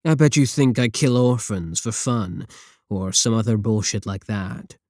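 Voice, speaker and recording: A taunting villain scientist, voiced by a man speaks close to the mic in a low, sarcastic tone. He sounds arrogant and condescending. The recording is dry, with no room sound. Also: measured pace, a little mellow, no echo. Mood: mocking. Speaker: voice actor in audio roleplay. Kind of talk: ASMR roleplay audio.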